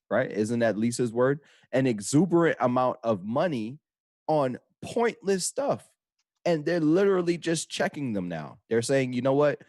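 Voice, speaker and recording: A man's voice: medium pitch, a little mellow, no room sound, clean studio sound. A Trinidad-born man hosting a casual talk show, loose and talkative with slang. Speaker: host. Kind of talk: casual radio chat.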